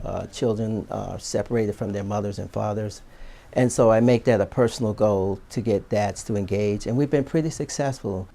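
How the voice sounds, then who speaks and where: A man who works with children at a clinic, explaining calmly in an interview. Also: on the low side.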